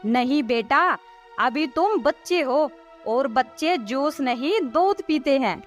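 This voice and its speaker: Woman playing a high-voiced, slow-talking household schemer. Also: expressive, soft background music. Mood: placating. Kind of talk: dramatised cartoon story dialogue.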